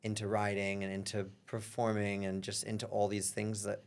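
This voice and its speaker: A man's voice: on the low side, completely flat delivery, moderate pace, smooth. A young man born in London, a guest talking casually in an interview, rambling with many fillers. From born in London, grew up in Europe. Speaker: guest, singer and artist.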